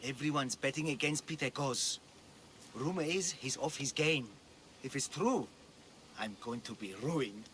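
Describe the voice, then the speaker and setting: An actor playing a harried, downcast betting hawker in an ancient Greek video game world. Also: male voice, medium pitch, measured pace, a bit bright, a little hiss or hum, lightly acted. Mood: worried. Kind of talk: video game character acting.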